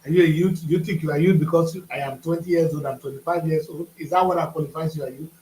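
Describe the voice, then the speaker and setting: A man's voice: on the high side, fairly even intonation, quick pace, darkish tone, echoey room, slight background noise, poor audio. A man, hosting a studio talk show and lecturing with rhetorical questions. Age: 50s. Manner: preachy, rhetorical questions, emphatic.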